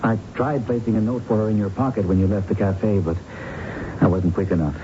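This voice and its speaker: An actor playing a secretive, lovelorn man in a radio drama, speaking in a measured, confiding way. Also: fairly even intonation, lightly acted. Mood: earnest. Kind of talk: 1970s radio drama acting. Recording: muffled noisy old radio broadcast, low rumble.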